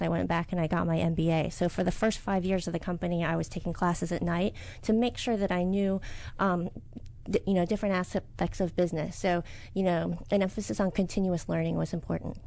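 Brief: middle-aged woman, business owner, interviewee; soft-spoken, halting, lots of ums. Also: fairly low pitch, flat delivery, vocal fry. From United States. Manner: soft-spoken, hesitant with many fillers. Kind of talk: interview answers.

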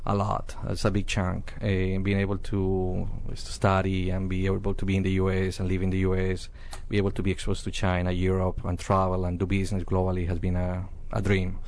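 Low-voiced Colombian man, even delivery. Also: brisk, hardly any pauses. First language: Spanish. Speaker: interview guest, chief executive. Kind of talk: interview answers.

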